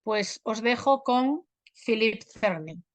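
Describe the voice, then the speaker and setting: A woman moderating an online event, introducing the topic and reading out figures in a measured way over a video call. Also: fairly high pitch, animated, dry recording. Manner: measured, reads out figures deliberately.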